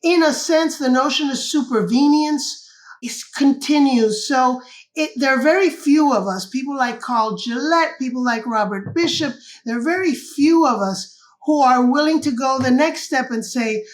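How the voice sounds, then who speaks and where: A woman's voice: sits high, moderate pace. An older woman from Cuba, a guest author explaining ideas in an interview and often checking in with "correct?".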